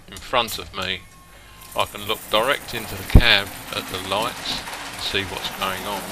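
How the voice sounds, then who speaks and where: A man narrating a hobby product demonstration step by step, in a plain-spoken, deliberate way with hesitant pauses. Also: fairly low pitch, noisy background. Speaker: hobbyist presenter demonstrating a model train radio control.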